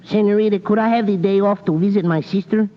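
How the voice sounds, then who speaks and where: A man's voice: high voice, steady tone, quick pace, natural voice. An actor playing a naive, cheerful Mexican handyman in old-time radio comedy, with a stage Mexican accent and broken English. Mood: cheerful patter. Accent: Mexican Spanish, stage version. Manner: broken English, joke-telling patter. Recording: muffled old radio, hall echo, faint noise.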